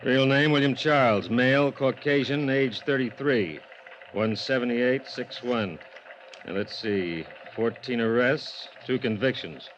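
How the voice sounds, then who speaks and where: A man playing a gruff, determined police sergeant in a 1950s radio drama, speaking in a terse, offhand way. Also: mid-range voice, measured pace, a bit bright, natural voice. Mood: businesslike. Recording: dull vintage radio recording, faint noise.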